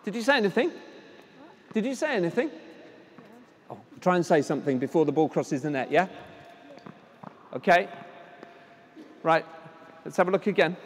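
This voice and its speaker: A man coaching children at tennis drills, giving brisk encouraging instructions and projecting his voice loudly. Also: high voice, lively intonation, a little hiss or hum. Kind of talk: on-court coaching demonstration. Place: indoor tennis hall at a conference. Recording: echoey hall, voice from a distance.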